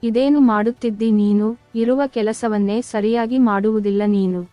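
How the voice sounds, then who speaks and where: A woman playing a scolding, distrustful village mother-in-law in an animated moral story, curt and nagging. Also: on the high side, normal speed, no echo, soft background music, natural voice.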